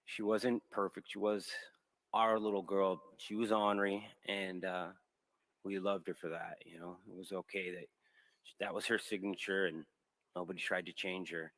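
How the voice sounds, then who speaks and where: A father speaking at a memorial, halting and heartfelt with fillers. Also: low-pitched, flat delivery, a bit bright, low-quality recording. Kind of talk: memorial speech.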